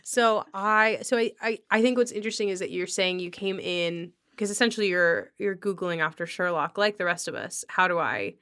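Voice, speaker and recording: A woman hosts a casual podcast conversation. Her voice sits a little low for a woman. She speaks informally, in the easy back-and-forth style of a chat, reshaping her phrases as she goes. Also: normal speed, hardly any pauses, fairly bright tone, clean tone. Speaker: podcast host.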